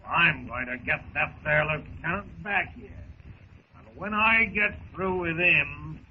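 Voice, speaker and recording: A brutal pirate captain of old-time radio, bullying and menacing in rough slang. His voice sits fairly high, on a dull, scratchy recording with steady hiss. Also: slow. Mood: menacing, gloating. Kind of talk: 1930s radio serial acting.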